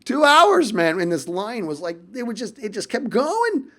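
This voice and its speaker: Man telling a story, casual and animated. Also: very high voice, moderate pace. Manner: animated, emphatic, repeats phrases for effect. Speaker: YouTuber telling a personal story.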